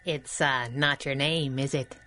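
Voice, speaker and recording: A woman playing a plucky, blunt young masked heroine in a radio drama, sardonic and wary, by turns hesitant and brisk. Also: very deep voice, fairly even intonation, pushed and bright, slight background noise, full character voice. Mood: awkward, curious. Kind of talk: 1930s-style radio drama acting.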